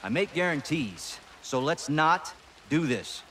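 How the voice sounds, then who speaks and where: Smug Southern American mercenary commander. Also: male voice, fairly high pitch, big pitch swings, takes it slowly, bright, forceful tone, a little hiss or hum, heavily acted. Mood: cold, controlled. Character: smug, commanding male American private military contractor. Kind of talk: cinematic video game acting.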